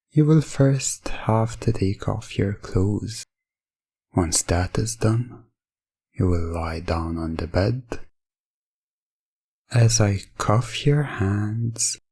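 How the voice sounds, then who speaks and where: A man performs as a composed male professional dominant for an ASMR hypnosis audio roleplay. The voice is low and breathy, and every phrase comes extremely slowly and deliberately. The delivery stays formal and detached, recorded very dry and close. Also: a little mellow, no echo, exaggerated voice. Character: calm, businesslike male professional dominant addressing a client.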